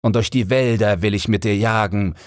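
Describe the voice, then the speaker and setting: A man's voice: on the low side, moderate pace, no echo, studio quality, pitched above the performer's own voice, lightly acted. A man voicing a fearsome, wrathful robber chief in a storybook, commanding, menacing and formal. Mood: wrathful menace.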